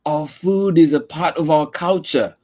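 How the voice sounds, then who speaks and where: A man doing a gentle storybook mom. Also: on the high side, unhurried, pitched above the performer's own voice. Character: gentle caring mother.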